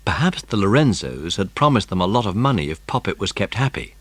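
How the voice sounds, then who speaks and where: Man, low-pitched, animated intonation, slightly husky, dramatic scripted children's audiobook narration. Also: fairly quick, no echo. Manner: expressive, dramatic.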